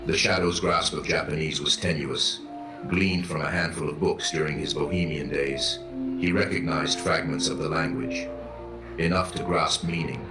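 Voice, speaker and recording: A man's voice: a bass voice, steady tone, normal speed. A man narrating a scripted dramatic story as the narrator of an audio drama. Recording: roomy echo with music underneath. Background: music.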